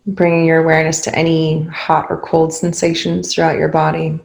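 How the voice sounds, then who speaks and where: Female meditation guide: low-pitched, gravelly fry, calm and unhurried delivery with roomy pauses between phrases. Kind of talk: guided meditation, spoken softly to listeners.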